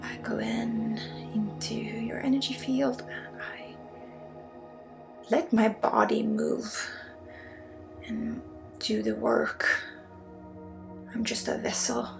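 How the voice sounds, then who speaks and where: Creaky-voiced Swedish woman, half-whispered ASMR. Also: on the high side, sing-song. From Sweden. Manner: slips often between soft voice and breathy whisper; casual, blunt, swearing, halting with asides. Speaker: spiritual healer and ASMR host. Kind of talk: ASMR energy healing, intimate guided talk. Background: music.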